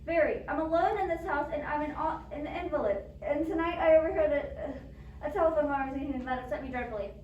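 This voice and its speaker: A woman's voice: high voice, fast, a little mellow, muffled recording, close to an everyday voice. A woman acting a frightened, demanding, self-pitying bedridden wife in an amateur radio play, fretful and melodramatic. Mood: nervous, insistent. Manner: imperious, fretful, melodramatic pleading. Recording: roomy, distant microphone with faint noise.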